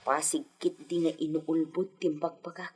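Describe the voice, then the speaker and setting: A woman with an Ilocano accent playing a secretive, troubled mother in a radio drama, with a very breathy voice. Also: deep voice, little pitch movement, normal speed, a little mellow. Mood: brooding.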